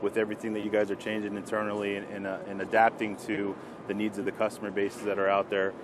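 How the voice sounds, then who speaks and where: A man's voice: lowish voice, completely flat delivery, fast talker. A man interviewing in a casual, agreeable way, in an informal business conversation at a trade show. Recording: clear show-floor background noise.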